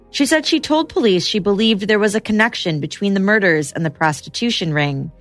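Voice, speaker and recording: A woman hosting a podcast, narrating a true-crime case in steady scripted storytelling. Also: medium pitch, dry recording.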